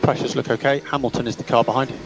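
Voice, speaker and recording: A man's voice: mid-range voice, steady tone, racing, gravelly, music in the background. A man working as a race engineer, giving clipped, procedural instructions over team radio and often repeating them. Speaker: Formula One race engineer. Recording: team radio with loud vehicle noise.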